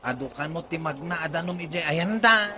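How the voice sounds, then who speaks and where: High-pitched sympathetic Ilocano village man. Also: a little fast, bright, forceful tone, slight background noise. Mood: concerned. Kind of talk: Filipino radio comedy drama acting.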